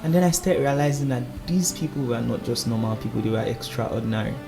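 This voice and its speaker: A man, a singing contest finalist, telling stories in a playful, animated way on the radio, laughing often. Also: mid-range voice, quick pace, vocal fry, music in the background. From Nigeria. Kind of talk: casual radio interview.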